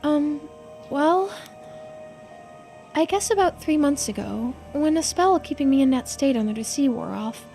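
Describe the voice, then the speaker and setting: Woman voicing an earnest, high-voiced immortal young woman. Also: lively intonation, unhurried, pauses often, dark tone, soft background music. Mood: recollecting. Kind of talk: visual novel character voice.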